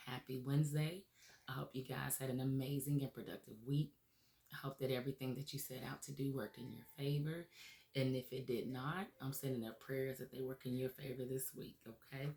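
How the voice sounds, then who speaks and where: A woman hosting a motivational radio show, her voice raspy from recent laryngitis, talking earnestly and encouragingly with many "um"s and "okay?"s. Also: rumbling low, darkish tone, reverberant. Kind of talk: motivational talk show monologue.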